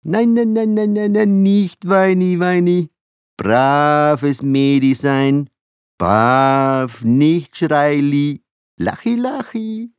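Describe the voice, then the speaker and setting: A man voicing a fussy middle-aged father, switching between polite, gruff and baby-talk tones. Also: a little high, extremely slow, no room sound, clean studio sound, pitched above the performer's own voice.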